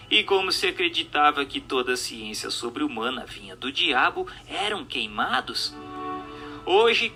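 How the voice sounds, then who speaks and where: Male narrator, formal audiobook read, sharp and very forceful tone, didactic delivery, music underneath. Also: mid-range voice, creaky. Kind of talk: formal scripted narration of a religious-philosophical book.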